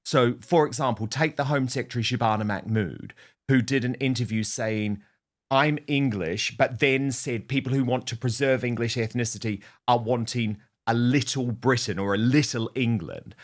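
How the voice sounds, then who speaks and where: Man, talk-show host, earnest, affirming, mid-pitched voice. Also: moderate pace. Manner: leading questions, affirming, earnest. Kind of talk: political talk show hosting.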